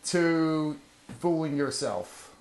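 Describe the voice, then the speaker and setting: A man's voice: on the high side, extremely slow, gravelly, darkish tone, echoey room. A man hosting a casual group reading, handing out parts to the others.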